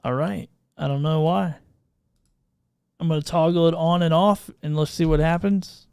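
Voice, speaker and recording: A man hosting a livestream podcast, chatting informally and unscripted, thinking out loud as he troubleshoots. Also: fairly high pitch, fairly even intonation, moderate pace, creaky, smooth, dry recording, studio quality. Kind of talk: casual unscripted livestream chat. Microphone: podcast microphone through audio mixer.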